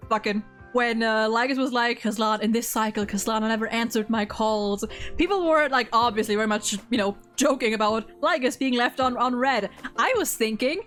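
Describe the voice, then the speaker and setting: A woman's voice: fairly high pitch, a bit bright. A woman in a casual discussion of a video game, talking emphatically and swearing freely. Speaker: host.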